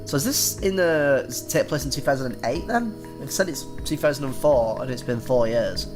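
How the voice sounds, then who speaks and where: A man's voice: mid-range voice, animated, fairly quick, rough recording. A man in his twenties with a head cold, giving casual let's-play commentary. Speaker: gaming YouTuber. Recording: music behind. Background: background music.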